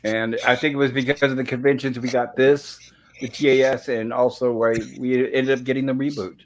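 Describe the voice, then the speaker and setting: A man hosting a casual livestream chat, polite and welcoming, with a slightly raspy throat irritated by smoke. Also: medium pitch, level tone, fairly quick, a little hiss or hum. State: throat irritated by smoke, holding back a cough. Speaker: livestream host.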